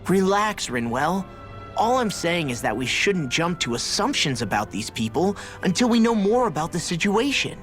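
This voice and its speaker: A level-headed young fighter from a fantasy game. His delivery is breezy and casual with very varied pitch, over light music. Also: sing-song, normal speed, a bit bright. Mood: reassuring. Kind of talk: video game dialogue acting.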